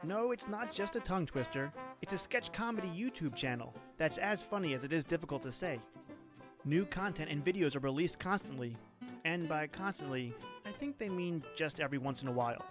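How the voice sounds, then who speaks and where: A man's voice: on the high side, animated, a little fast, music in the background. A man reading a comic podcast ad, playful and self-mocking. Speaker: ad reader.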